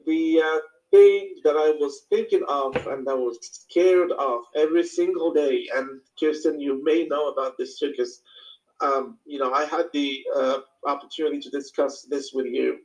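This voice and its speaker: A man with a Moroccan accent and a slightly high voice gives an informal personal talk. He explains things reflectively, often pausing as he searches for the next phrase. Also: fairly even intonation, a little mellow, rough recording. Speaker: speaker sharing personal experience.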